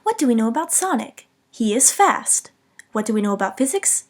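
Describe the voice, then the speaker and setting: Young woman, high pitched, scripted jokey game commentary, kinda self-deprecating and dramatic on purpose. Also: very animated, brisk. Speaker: video essay narrator.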